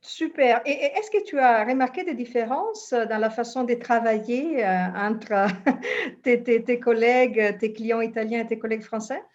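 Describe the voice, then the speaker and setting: A woman's voice: a little high, normal speed. A woman hosting an interview, friendly and hesitant, with frequent fillers. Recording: dull lo-fi sound.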